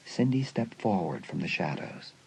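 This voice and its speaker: A man's voice: low-pitched, sing-song, moderate pace, vocal fry, slightly rough, low-quality recording. A man reading suspenseful scripted narration for a dramatised audiobook. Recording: faint music behind the narration.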